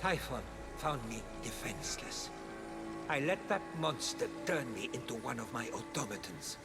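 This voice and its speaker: A man's voice: medium pitch, big pitch swings, moderate pace. A man playing a weary, tormented, brooding god of invention in a video game cutscene. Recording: loud game music underneath.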